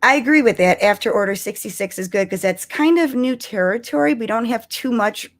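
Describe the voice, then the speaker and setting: A woman's voice: a little high, brisk. A woman hosting a casual live fan discussion, talking informally. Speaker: live stream host.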